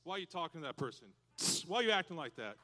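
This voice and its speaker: A man preaching a lively sermon to youth and parents in a church, animated and breaking into loud cowboy shouts. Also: fairly high pitch, very fast. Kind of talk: lively hands-on sermon with props.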